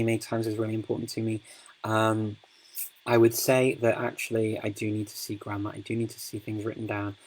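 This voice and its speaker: A man's voice: medium pitch, a little mellow, poor audio. A man hosting a casual live-stream Q&A, speaking in a chatty, rambling way with many fillers and restarts. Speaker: host of a live Q&A.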